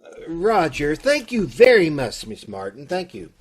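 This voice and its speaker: A man's voice: a little high, wildly swooping pitch, measured pace, husky, exaggerated voice. A man playing a fussy, salesman-like bank loan officer in an old-time radio comedy.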